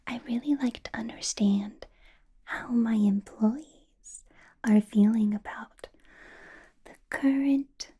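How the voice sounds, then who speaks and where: A woman's voice: fairly high pitch, mellow, slightly rough, exaggerated voice. Woman whispering as a teasing vampire boss. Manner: whispered throughout, teasing, menacing, playful laughs. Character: centuries-old female vampire boss, teasing, possessive. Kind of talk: ASMR roleplay.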